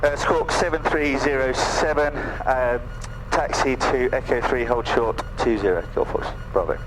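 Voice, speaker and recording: Halting male pilot over cockpit noise. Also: mid-range voice. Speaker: pilot and vlogger. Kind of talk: halting cockpit talk and radio calls.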